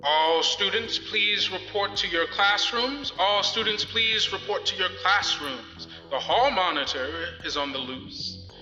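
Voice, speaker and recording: Man putting on a high, flustered school announcer. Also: expressive, a little slow, pushed and bright, roomy sound, in a large hall, dull audio, soft background music, exaggerated voice. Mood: flustered, officious.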